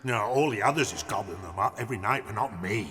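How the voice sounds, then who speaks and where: Kindly, rambling giant for children's audio drama, emphatic and muddled, heard in long cave-like reverb. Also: male voice, lowish voice, animated, fast talker, bright, forceful tone, faint music behind. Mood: proud, friendly. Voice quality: wordy, garbled grammar with mangled made-up words.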